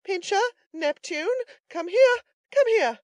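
A man's voice: extremely high pitch, expressive, takes it slowly, dry recording, clean studio sound, voice pushed up, heavily acted. A man voicing a frightened man in an audiobook, calling out in a tremulous voice. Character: fearful man calling his dogs in tremulous voice.

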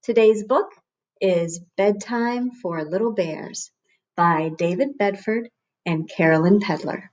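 A woman's voice: mid-range voice, takes it slowly, darkish tone, highs cut off. A woman, narrating a picture book expressively for children as a storyteller. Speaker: read-aloud host, storyteller. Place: small room at home, on a couch.

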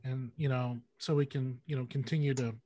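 A man's voice: medium pitch, fairly even intonation, fairly quick, warm tone, no room sound. A middle-aged man hosting a casual interview over a video call. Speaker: interviewer, host. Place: indoor room, video call.

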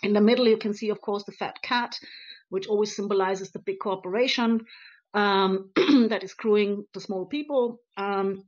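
A woman in her thirties talks through her slides in an earnest, encouraging way, pausing often on ums and uhs. Her voice sits at an ordinary middle pitch. The webcam audio is a little dull and lo-fi. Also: steady tone. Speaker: conference speaker on activism. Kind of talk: presenting slides in a webinar talk. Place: home room on a video call. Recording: slightly dull lo-fi video call audio.

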